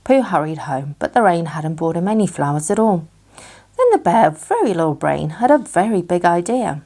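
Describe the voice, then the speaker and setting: Female children's bedtime narrator, slightly low register, animated and expressive. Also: normal speed. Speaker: storyteller.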